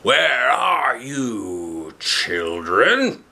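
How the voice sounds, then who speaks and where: A man voicing a hungry storybook wolf who poses as a granny, with drawn-out, begging speech. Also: medium pitch, sing-song, vocal fry, sharp tone, voice pushed up, full character voice. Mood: calling out. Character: hungry male wolf posing as a kindly granny. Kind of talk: storybook read aloud.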